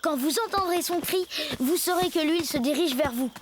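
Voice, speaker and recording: A woman's voice: high-pitched, brisk, background noise, lightly acted. A woman voicing a plucky, determined young jungle boy in a cartoon dub. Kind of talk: French cartoon dub acting.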